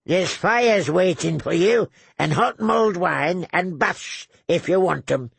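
A cackling gravelly old lord. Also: male voice, high-pitched, lively intonation, slow pace, a bit bright, no echo, voice pushed up, heavily acted. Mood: bossy. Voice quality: gravelly, cackling, tags phrases with "eh?". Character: wheezy cackling old male lord, sly and crude. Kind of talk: audiobook character voice.

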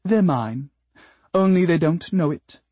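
Woman doing a husky, smug, lazy cat. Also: fairly low pitch, lively intonation, slow, dark tone, dry recording, lo-fi sound, pitched above the performer's own voice, exaggerated voice. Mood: smug, unbothered. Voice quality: purring. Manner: curt, haughty, languid. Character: smug, aloof, lazy, bloodthirsty female cat.

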